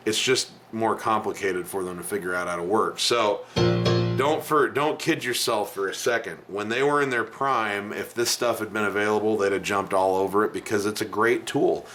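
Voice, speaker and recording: A middle-aged man with a low voice teaching piano in a casual video lesson, talking bluntly and chatting between the keys he plays. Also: moderate pace, fairly bright tone. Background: piano playing.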